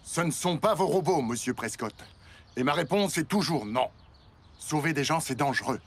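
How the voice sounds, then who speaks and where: A man voicing a steady, commanding middle-aged father who leads a rescue team in a children's cartoon dub. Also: on the high side, moderate pace, slight background noise. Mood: firm. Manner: firm, authoritative. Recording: loud music behind the voice. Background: loud music.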